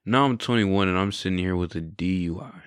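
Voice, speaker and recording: A man's voice: deep voice, brisk, smooth, dry recording. A man in his twenties sharing his testimony in a candid, reflective, conversational way. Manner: candid, reflective, often adds "right?" and "you know". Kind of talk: casual conversational storytelling.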